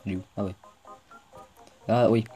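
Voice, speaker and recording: Low male voice, congested, casual gaming host, chatty let's play commentary, game music behind. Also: steady tone, moderate pace, dark tone, low-quality recording. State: congested with a cold.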